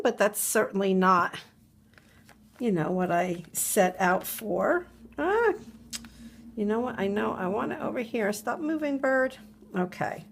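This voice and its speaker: A woman's voice: mid-range voice, creaky, slightly rough. A middle-aged woman, a little congested from a cold, chatting and musing aloud at her craft desk while she works. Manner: chatty, musing aloud, repeats words to herself. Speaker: craft video host. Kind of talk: relaxed crafting tutorial narration. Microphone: overhead camera above a desk, hands only.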